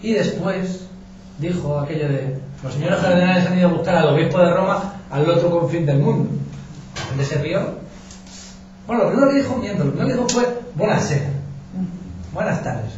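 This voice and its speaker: A man's voice: sits high, lively intonation, moderate pace, darkish tone. Man telling stories in an echoey room. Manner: colloquial, anecdotal storyteller with frequent restarts. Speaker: journalist giving a talk. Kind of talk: informal talk to an audience. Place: meeting room with audience. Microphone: distant room mic. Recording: echoey, distant, dull with noise.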